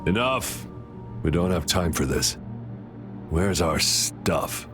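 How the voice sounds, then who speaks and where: An actor playing a ruthless gang boss in a video game, giving curt, impatient orders. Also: male voice, low-pitched, lively intonation, slow, over music. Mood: cold. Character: cold ruthless gang boss, adult man.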